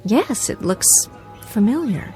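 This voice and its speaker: A woman's voice: a little high, sing-song, takes it slowly, warm tone, soft background music. An actress playing an anxious, bewildered young career woman in a radio drama, polite but insistent, with hesitant, stammering speech. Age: young adult.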